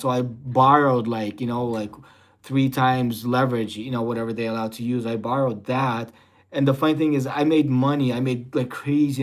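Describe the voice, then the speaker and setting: A young man chatting loosely on a live stream. He rambles, leaning on fillers like "you know" and "type deal." His voice sits at an ordinary middle pitch. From Russia. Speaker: livestream host. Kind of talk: casual livestream chat reading viewer questions.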